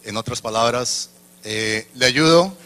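A man's voice: medium pitch, a little slow, bright, forceful tone. A man in the audience of a conference hall, asking a hesitant question into a handheld mic. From Colombia. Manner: hesitant, searching for words. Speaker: audience member.

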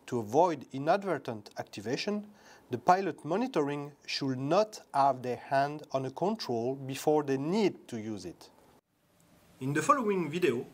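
A man giving scripted instructional narration in a measured, instructive way. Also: medium pitch. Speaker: training narrator.

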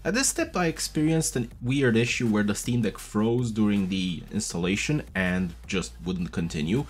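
A man in his thirties casually walking viewers through a device setup step by step, chatty and patient, recorded at a desk with a boom mic. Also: lively intonation, moderate pace, faint music behind. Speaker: tech video host. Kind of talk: casual tutorial walkthrough. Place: small room at a desk. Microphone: studio mic on a boom arm, wearing headphones.

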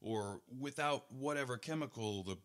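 A man's voice: mid-range voice, moderate pace, a bit bright. A man reading his own article aloud as scripted narration, in an earnest, pointed manner with rhetorical asides.

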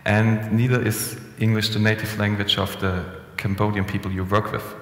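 A young man giving a relaxed, conversational conference talk with frequent restarts and fillers, speaking into a headset mic in a conference room. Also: on the low side, moderate pace. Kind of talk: conference presentation. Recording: echoey hall with long reverb.

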